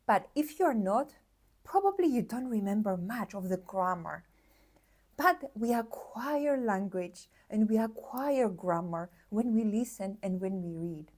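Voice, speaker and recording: Earnest woman teaching to camera. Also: medium pitch. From Cyprus. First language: Greek. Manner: animated, earnest and encouraging, with rhetorical questions. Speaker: language teacher. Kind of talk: explaining to camera.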